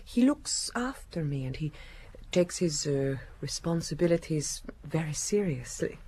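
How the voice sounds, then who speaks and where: An actress playing a secretive, languid young woman in an audio drama, coquettish and timid, with hesitant pauses. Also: low-pitched, lively intonation, warm tone, slight background noise. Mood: coy, teasing. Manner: hesitant, breathy pauses, coy.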